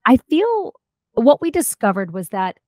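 Animated woman telling a story. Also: fairly high pitch, measured pace, no echo, clean studio sound. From United States. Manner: animated storyteller, many restarts and asides. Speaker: guest, food historian and cooking teacher. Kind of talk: casual interview chat.

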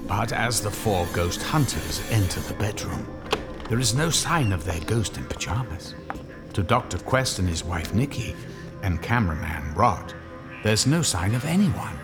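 A grave, suspenseful radio drama narrator. His very low voice moves slowly and portentously with expressive swings in pitch, while loud music plays beneath. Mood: ominous. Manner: measured, portentous. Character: grave, suspenseful male narrator of ghost story.

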